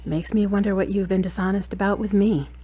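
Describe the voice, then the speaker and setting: A woman's voice: medium pitch, steady tone, a little fast, mellow, breathy edge, close to an everyday voice. A woman playing a frank, exacting girlfriend in an audio drama, wry and challenging, with pointed questions. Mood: incredulous, challenging.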